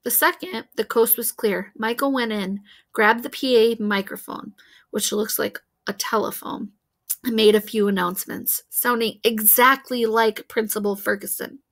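A woman's voice: a little high, steady tone, normal speed, fairly bright tone. A woman reading a children's book aloud expressively as a storyteller. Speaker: read-aloud reader.

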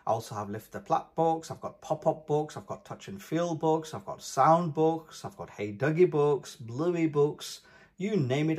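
A man's voice: on the high side, breathy edge. A man hosting a children's read-aloud, speaking in an emphatic, sing-song way for toddlers. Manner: animated, emphatic, sing-song delivery of letters and words.

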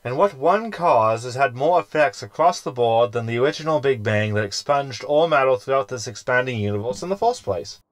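A man's voice: mid-range voice, animated, fairly quick, hardly any pauses, no room sound, natural voice. Man playing a scheming, swooping sarcastic hologram. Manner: arch, wordy, sardonic. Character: scheming, sarcastic male hologram crewman, self-satisfied. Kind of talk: science fiction comedy audio drama acting.

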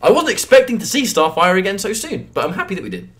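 A man with a sniffly cold, giving animated technical play-by-play on a fighting game match. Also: high-pitched, quick pace. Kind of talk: esports commentary.

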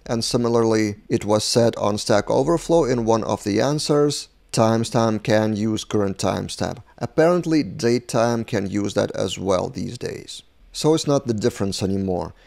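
A middle-aged man whose voice is affected by flu, explaining things in a measured, plain-spoken screencast tutorial. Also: on the low side, vocal fry, darkish tone. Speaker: programming tutorial host. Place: indoors, webcam beside screen recording.

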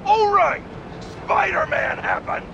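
A man's voice: very high voice, takes it slowly, dull audio, heavily acted. An actor playing an irascible, self-important male radio pundit in a video game, bombastic and sarcastic as he rants to his listeners. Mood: indignant. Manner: bombastic, sarcastic, exaggerated indignation. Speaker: radio talk show host. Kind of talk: opinionated radio rant. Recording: clear background city noise from gameplay.

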